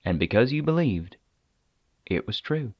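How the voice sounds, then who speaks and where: An actor playing a weary, persuasive government agent in an audio drama, talking conversationally with deliberate dramatic pauses. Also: male voice, medium pitch, very animated, no echo, lo-fi sound. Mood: earnest, probing. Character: weary, persuasive male agent of a secret containment agency.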